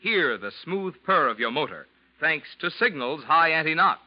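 A male announcer reads a vintage 1940s radio commercial. His fairly high voice is very animated, with a punchy, enthusiastic sales delivery. The recording is muffled. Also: normal speed.

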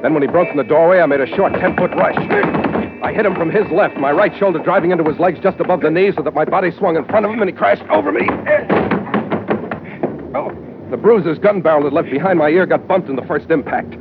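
A man's voice: a little high, fast, close to an everyday voice. An actor playing a tough, terse American sea captain who narrates his own adventure in an old radio drama. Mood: grim narration. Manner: clipped hardboiled delivery. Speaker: lead actor and first-person narrator. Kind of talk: 1940s-50s radio adventure acting. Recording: tinny old radio recording, music behind.

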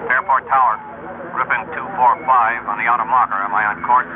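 A man's voice: medium pitch, steady tone, fairly quick, natural voice. An actor playing a businesslike male pilot calling the tower in an old-time radio drama. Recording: old radio, narrow band, aircraft noise.

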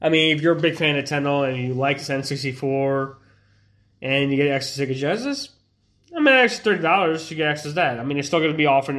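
A man's voice: medium pitch, fairly bright tone. A congested young man chatting loosely as a streamer, rambling with many fillers and restarts. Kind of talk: casual live-stream chat.

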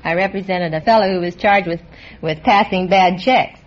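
Composed American woman, slightly low, muffled radio sound. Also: normal speed. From United States. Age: 30s. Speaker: contestant, lawyer. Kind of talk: interview answers and anecdote. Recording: muffled old radio recording, audience noise. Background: studio audience.

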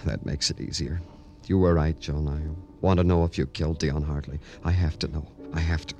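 A man's voice: a bass voice, steady tone, a little fast, a little mellow. An American man acting a terse, world-weary police detective in a hardboiled 1950s radio crime drama. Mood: intense, pressing. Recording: old radio drama with music behind.

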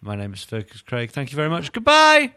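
A man's voice: fairly high pitch, wildly swooping pitch, brisk, pushed and bright, clear, resonant tone, no echo. A man hosting a podcast in casual football chat, with a self-deprecating way of talking. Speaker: podcast host.

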